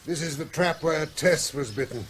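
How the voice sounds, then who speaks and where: Casting: helpful, careful countryman, high-pitched, radio play with slight background noise. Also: lively intonation, moderate pace, fairly bright tone. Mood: subdued, cautious. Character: helpful, cautious local countryman named David. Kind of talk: British radio drama acting.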